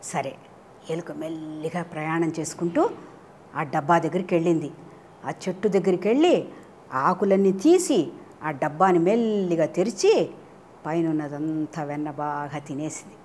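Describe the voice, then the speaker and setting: A woman telling stories to children in an animated way, often putting questions to her young listeners. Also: sits low, moderate pace, long pauses, a little hiss or hum. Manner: engaging listeners with frequent questions. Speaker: children's storyteller and TV presenter. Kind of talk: animated storytelling addressed to children.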